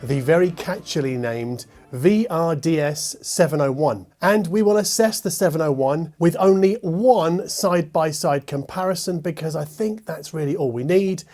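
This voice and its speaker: Guy with a slightly high voice doing a hifi review to camera, measured, bit wry. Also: darkish tone, soft background music. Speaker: host and hi-fi reviewer. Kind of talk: scripted product review to camera.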